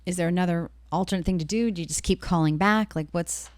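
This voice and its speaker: A woman's voice: fairly low pitch, fast talker, no echo. A woman with an American accent interviewing casually as a podcast co-host.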